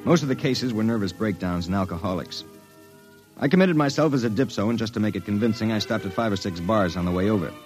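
Radio detective lead, man acting: lowish pitch, terse, wry, probing, faint music behind. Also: fast talker, darkish tone, natural voice. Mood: wry narration. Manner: terse, matter-of-fact, wry. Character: cool, probing male undercover investigator narrating his case. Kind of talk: old-time radio detective narration and dialogue.

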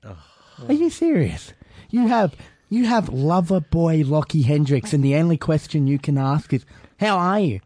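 A man's voice: measured pace, no echo. A man talking as a boastful wrestler persona, brash and self-promoting, calling people son. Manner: brash, boastful heel persona, lively pitch. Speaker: wrestler guest in character.

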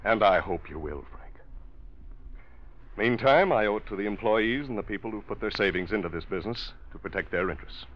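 A man's voice: fairly low pitch, lively intonation, a little fast, a little hiss or hum, lightly acted. An actor playing a stern older businessman uncle who lectures formally and righteously, in 1940s radio drama style. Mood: stern, firm. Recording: dull old radio recording.

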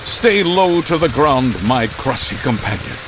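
Grandiose righteous caped hero, slow dramatic delivery, hugely swooping pitch, radio play melodrama. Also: male voice, big pitch swings, noisy background. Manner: pompous, melodramatic proclamations. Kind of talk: old-time radio drama acting.

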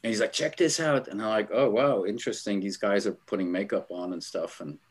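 Rambling, lower-voiced Swiss-born man. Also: brisk, breathy edge. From Switzerland. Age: middle-aged. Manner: rambling, filler-heavy, laughs while telling stories. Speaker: guest, album art director. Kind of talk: casual interview storytelling.

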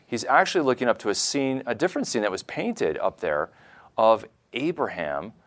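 A man giving conversational religious commentary, explaining things with enthusiasm in a halting way, repeating words as he goes. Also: mid-range voice, very animated, fairly bright tone. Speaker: podcast co-host, religious scholar.

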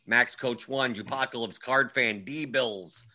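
A man's voice: mid-range voice, takes it slowly, pushed and bright. A man in his forties hosting a casual livestream chat, wry and grumbling, often repeating himself. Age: 40s. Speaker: livestream host.

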